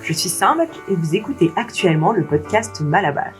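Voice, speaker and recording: A woman hosting a podcast, reading a clear intro and then asking chatty questions with fillers. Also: lowish voice, sing-song, brisk, faint music behind. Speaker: podcast host. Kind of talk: scripted intro, then interviewing.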